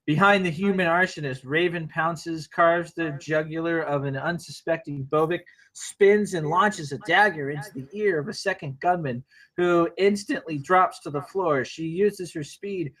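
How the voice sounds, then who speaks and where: Higher-voiced man narrating screenplay action. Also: moderate pace, hardly any pauses, a bit bright, poor audio. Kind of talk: scripted narration at an informal table read.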